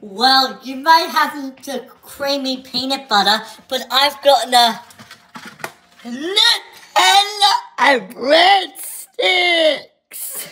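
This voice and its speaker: A woman putting on a silly, theatrical boasting voice with drawn-out words, run through voice effects in a home clip. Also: lively intonation, bright, forceful tone, exaggerated voice, poor audio. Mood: boastful, silly. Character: silly exaggerated weird-voiced snack boaster. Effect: pitch and voice filters. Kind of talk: comic skit, repeated with voice effects. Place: home kitchen.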